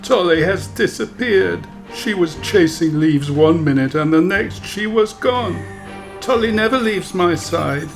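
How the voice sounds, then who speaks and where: A woman voicing a gentle, distraught old homeless man in a storybook, creaky and anguished. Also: lowish voice, animated, measured pace, faint music behind, voice pushed down. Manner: anguished, pleading.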